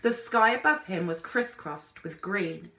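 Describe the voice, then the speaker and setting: Woman, expressive storybook narration, bright forceful tone, mid pitch, roomy echo, teacher reading aloud. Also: measured pace. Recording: echoey room.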